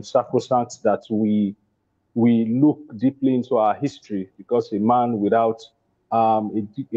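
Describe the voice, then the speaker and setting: A Nigerian playwright talks about his work over a video call. His voice is mellow and warm, and the line sounds a little dull and lo-fi. He hesitates often, stacking fillers and restarting his sentences. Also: on the low side, slightly rough. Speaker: playwright and producer.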